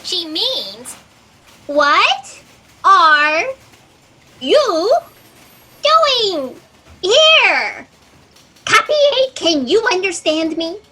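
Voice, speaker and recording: A very high, bright, wildly animated office assistant in a sci-fi comedy. She is perky, overeager and theatrical, heard through slightly noisy video-call audio. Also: very slow, long pauses, heavily acted. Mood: eager, officious. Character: chirpy, overeager female fact-checking office assistant. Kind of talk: comic sci-fi audio drama acting.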